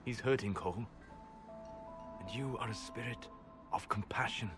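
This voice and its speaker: An actor playing a reserved, scholarly mage in a fantasy game, speaking in measured, formal phrases. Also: male voice, on the low side, over music, natural voice. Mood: calm, reserved.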